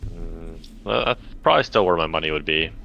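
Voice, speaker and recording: A man's voice: low-pitched, expressive, a little fast, gravelly, soft background music. A man chatting casually as a player on a gaming podcast. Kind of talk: casual chat. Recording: dull, noisy home recording.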